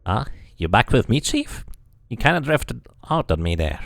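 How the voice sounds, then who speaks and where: A man's voice: mid-range voice, sing-song, moderate pace, vocal fry, fairly bright tone, no echo. Guy doing a jumpy wisecracking skull sidekick from a game, slangy, voice swoops way up, close dry sound. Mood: concerned. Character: excitable, chatty wisecracking floating skull sidekick. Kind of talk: amateur voicing of a game character.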